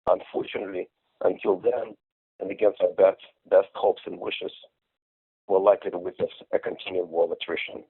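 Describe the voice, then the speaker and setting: A middle-aged man from Ukraine giving measured, formal interview answers over a muffled phone call. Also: sits low, steady tone, gravelly, slightly rough. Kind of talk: considered, prepared interview answers.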